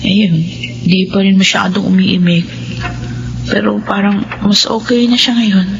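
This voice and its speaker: An actress playing a caring, dutiful college-age daughter in a Filipino radio drama, gentle and respectful. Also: female voice, medium pitch, little pitch movement, a little slow, creaky, music in the background. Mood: gentle concern. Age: young adult. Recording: muffled, noisy radio drama broadcast.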